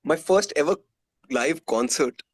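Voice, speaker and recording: A man's voice: fairly high pitch, animated, measured pace, husky, no room sound, clean studio sound. A man from India with an Indian accent, telling stories casually and candidly as a musician being interviewed.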